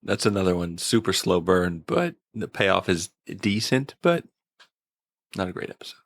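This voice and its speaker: A low-voiced man with a lot of gravel chats casually as a podcast guest. His pitch moves around in a lively way and the sound is close and dry. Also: moderate pace, no echo. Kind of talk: casual chat.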